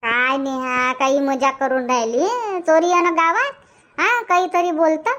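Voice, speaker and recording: A woman's voice: high voice, steady tone, unhurried, sharp tone, highs cut off. A woman voicing a scolding, boastful village housewife in a comic cartoon, nagging emphatically in a Varhadi Marathi accent. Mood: disbelieving.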